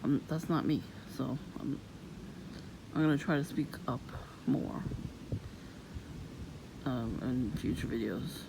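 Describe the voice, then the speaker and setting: A woman with a low voice, vlogging in a soft-spoken, rambling and suspicious way outdoors near her home. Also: takes it slowly, vocal fry, long pauses. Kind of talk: casual rambling monologue. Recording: noisy outdoor ambience.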